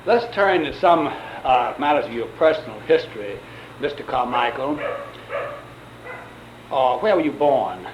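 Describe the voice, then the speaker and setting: A man conducting a formal interview, asking measured, polite questions with hesitant fillers. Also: medium pitch, expressive, highs cut off. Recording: hissy old tape recording.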